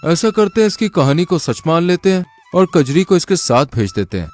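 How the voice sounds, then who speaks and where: A man plays a scheming village swindler telling tall tales. His delivery is theatrical and boastful, fairly high and full of swings, with music underneath. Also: sing-song, fairly quick, a little mellow. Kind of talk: Hindi folk tale dialogue.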